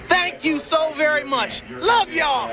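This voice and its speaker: A man giving an overjoyed, breathless post-race interview at a racetrack, his voice going hoarse. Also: very high voice, takes it slowly, crowd noise. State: losing his voice. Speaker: winning drag racer. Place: outdoors at a racetrack.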